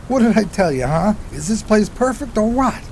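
An actor playing a streetwise, loyal homeless pal in a 1920s New York radio play, with slangy, folksy, casual speech. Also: male voice, sits high, big pitch swings, normal speed, a little mellow, a little hiss or hum. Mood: sly, eager. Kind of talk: radio play acting and storytelling.

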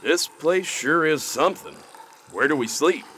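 Man acting an animated, agreeable outlaw forger. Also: a little high, measured pace. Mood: easygoing. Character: agreeable, businesslike male outlaw forger in western. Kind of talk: western radio drama acting.

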